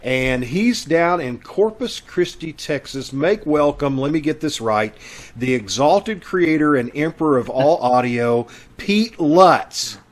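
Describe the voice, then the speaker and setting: A man's voice: a little high, lively intonation, takes it slowly. Folksy man hosting a chat show. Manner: folksy, chatty. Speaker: host. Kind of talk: casual welcome and announcements on a video call show. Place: video call.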